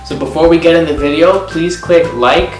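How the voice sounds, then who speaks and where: A man's voice: a little high, normal speed. A man talking casually as the co-host of a conversational vlog. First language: English. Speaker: video co-host. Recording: echoey room, distant mic, background music.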